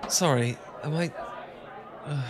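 A man acting a stammering, bashful young British professor, higher-pitched. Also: crowd noise. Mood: nervous, confessing. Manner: stammering, halting, gushing, old-fashioned polite. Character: bashful, flustered young male English professor, smitten and tipsy. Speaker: audio roleplay performer. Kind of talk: romantic ASMR roleplay acting.

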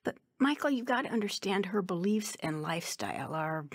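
An actress playing an easygoing, teasing old grandmother in a radio drama, husky and folksy. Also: medium pitch, sing-song, dry recording, studio quality. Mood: gentle, earnest. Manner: folksy, unhurried, chuckling.

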